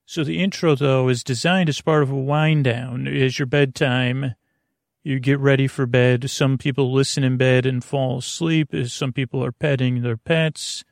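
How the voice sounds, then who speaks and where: A man with a creaky voice hosts a sleep podcast, rambling soothingly in hushed golf-commentator tones, haltingly meandering and over-explaining. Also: mid-range voice, steady tone, no room sound, clean studio sound. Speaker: host of a bedtime sleep podcast.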